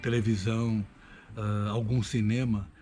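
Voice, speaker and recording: Older Brazilian man, lowish voice. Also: flat delivery, measured pace, warm tone, soft background music. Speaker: veteran voice actor. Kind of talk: interview talk. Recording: faint ambient noise.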